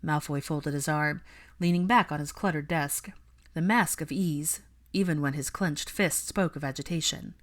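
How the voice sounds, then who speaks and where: A female audiobook narrator with a low-pitched voice. She reads fiction prose in a scripted storytelling style. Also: moderate pace.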